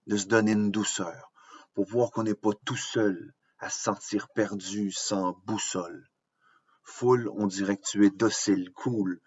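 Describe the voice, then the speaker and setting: Low, even-toned Quebec male slam poet. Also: slightly rough, dry recording. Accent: Quebec French. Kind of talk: rhythmic spoken-word slam poetry recital. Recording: dull, lo-fi, dry close sound.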